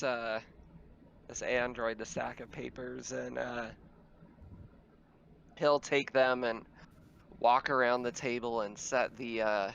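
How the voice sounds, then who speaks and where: A man's voice: medium pitch, vocal fry, a bit bright, lo-fi sound, a little hiss or hum. A man running a tabletop roleplaying game, narrating casually as game master.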